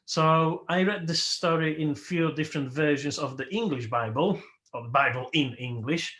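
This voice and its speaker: A man whose first language is Polish, leading a worship service as its host and speaking slowly and reflectively, with emphatic rises, over a video call. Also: a little high, fairly bright tone. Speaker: worship leader. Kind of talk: sermon.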